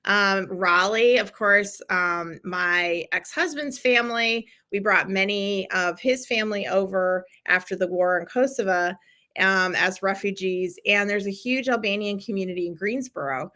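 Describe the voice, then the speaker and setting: A middle-aged woman hosting a live-stream challenge, chatting warmly with viewers and encouraging them, with lots of ums and restarts. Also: mid-range voice. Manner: chatty and encouraging, with many fillers. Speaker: podcast host running a live challenge. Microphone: streaming from a computer.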